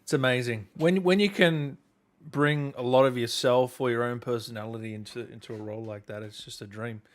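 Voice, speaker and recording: A man with an average-pitched voice acts as interviewer. He puts his questions in a casual, conversational way, like a host chatting with his guest. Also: fairly even intonation, a little fast. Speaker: interviewer, gaming channel host.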